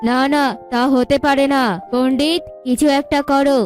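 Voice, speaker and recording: Woman playing a high-voiced commanding eagle king. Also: flat delivery, moderate pace, a little mellow, faint music behind, lightly acted. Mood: pleading, insistent. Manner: regal, commanding. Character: commanding eagle king, devoted to ailing queen.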